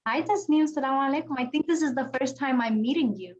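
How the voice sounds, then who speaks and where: A woman's voice: high voice, quick pace, muffled recording. A woman facilitating an online youth check-in, affectionate and encouraging, praising each young speaker in turn. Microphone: video call.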